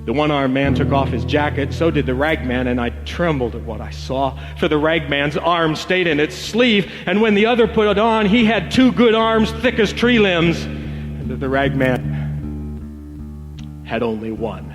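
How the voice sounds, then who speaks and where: A man telling a devotional parable as a dramatic storyteller, theatrical and emotive, with weighty pauses. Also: on the high side. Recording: long reverb, music bed behind voice. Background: acoustic guitar music.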